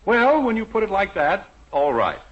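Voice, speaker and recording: A man's voice: sits high, big pitch swings, moderate pace, husky, full character voice. An American actor playing a vain, good-natured middle-aged suburban father in a theatrical, blustering 1950s radio sitcom style. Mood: resigned. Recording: muffled, noisy old radio recording.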